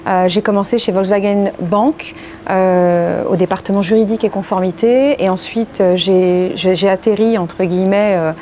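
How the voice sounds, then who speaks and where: A woman from France giving measured, reflective and earnest interview answers as a legal professional. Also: medium pitch, fairly even intonation, mellow, slight background noise. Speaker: interviewee, corporate legal and compliance executive.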